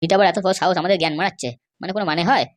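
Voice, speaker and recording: A woman's voice: fairly low pitch, very fast, natural voice. A woman voicing a sly, insistent male schemer and constable in an amateur comedy cartoon. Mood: scheming, gossipy.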